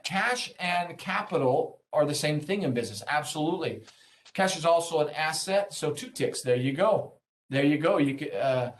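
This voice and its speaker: A man presenting an interactive business webinar, explaining things informally and encouragingly and checking in with his listeners as he goes. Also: fairly high pitch. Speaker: webinar presenter, senior consultant and trainer. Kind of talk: interactive business lesson, explaining earnings call.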